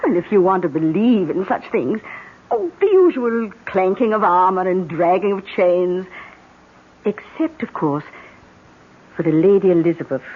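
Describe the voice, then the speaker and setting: A woman's voice: on the low side, expressive, unhurried. An actress playing a breezy, dry British aristocratic woman in a 1970s radio drama, dismissive and gossipy. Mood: breezy, wry. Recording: tinny old radio, music underneath.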